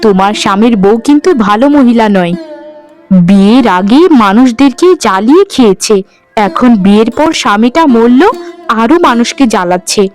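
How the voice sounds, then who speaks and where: A woman's voice: a little high, moderate pace, darkish tone, soft background music, lightly acted. A woman voicing a frank, nosy village neighbour in cartoon story dialogue, chatty and plain-spoken. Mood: warning.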